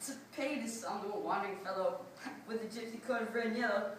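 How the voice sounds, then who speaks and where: A woman's voice: medium pitch, fast talker, close to an everyday voice. A woman playing a pompous, stingy male town mayor, blustering through verse in readers theatre. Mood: dismissive, wheedling. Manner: blustering, emphatic. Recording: distant, very echoey room, faint noise.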